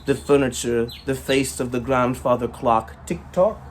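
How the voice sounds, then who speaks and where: A man's voice: medium pitch, unhurried. Theatrical male storyteller, noisy recording. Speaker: storyteller, author reading own work. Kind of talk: dramatic rhyming short story reading.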